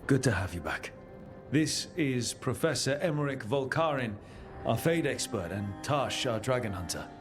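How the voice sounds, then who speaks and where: A man's voice: medium pitch, lively intonation, normal speed, over music, close to an everyday voice. A man playing an earnest, resolute young leader of heroes in a fantasy game. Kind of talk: dramatic video game acting.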